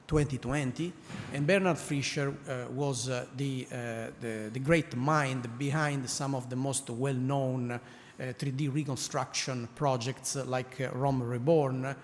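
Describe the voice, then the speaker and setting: Italian-accented man in a reverberant hall. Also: mid-range voice. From Italy. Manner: frequent "uh" fillers and restarts, wry asides. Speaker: conference speaker. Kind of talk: academic conference talk. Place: large conference hall. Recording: reverberant hall, faint noise.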